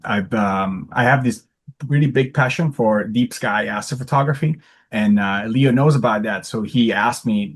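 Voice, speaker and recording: A man from Italy with an Italian accent speaks as a guest on a video call, introducing his talk in a hesitant, conversational way. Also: lowish voice.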